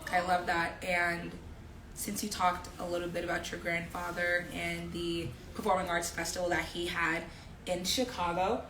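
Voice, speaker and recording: A woman's voice: fairly low pitch, flat delivery, normal speed, background noise. A young woman hosting a casual interview, affirming her guest and speaking with emphasis. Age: young adult. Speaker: live series host, interviewer. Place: indoor room, live social media stream. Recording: echoey room with people murmuring behind.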